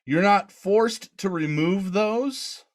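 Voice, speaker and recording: A man on a gaming podcast talks slowly and unhurriedly, thinking aloud as he works through a game menu. His voice sits a little high. The delivery is loose and casual. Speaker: podcast co-host.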